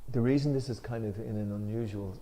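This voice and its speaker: Mellow-voiced Irish man leading a study. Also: on the low side, a little hiss or hum. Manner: emphatic when reading, then relaxed and unhurried with fillers. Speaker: scripture discussion leader. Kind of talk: reading a commentary aloud, then explaining it.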